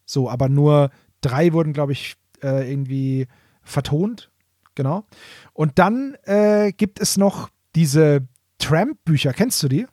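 Guy cohosting a podcast, voice a bit high, dry sound, keeps restarting his phrases. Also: takes it slowly, warm tone, no room sound. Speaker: podcast co-host. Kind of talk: casual chat about audio dramas.

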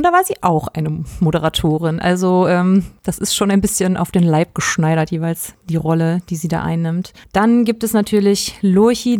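A woman's voice: medium pitch, a little mellow, no echo, studio quality. A woman co-hosting a podcast in casual, semi-prepared talk, chatty and informative with plenty of detail. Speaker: podcast co-host.

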